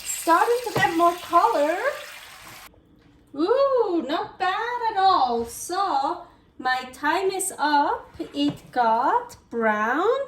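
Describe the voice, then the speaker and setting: High-voiced Swiss woman cooking. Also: animated, very slow, fairly bright tone, reverberant, far from the mic, a little hiss or hum, poor audio. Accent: Swiss German. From Switzerland. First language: Swiss German. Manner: chatty, self-correcting, talks to herself while cooking. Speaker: cooking channel host. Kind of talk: casual cooking vlog. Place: home kitchen.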